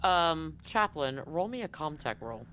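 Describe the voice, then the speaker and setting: A man's voice: on the high side, big pitch swings, a little slow, bright, forceful tone. A man running a tabletop roleplaying game, narrating off the cuff as game master. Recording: live stream with background noise.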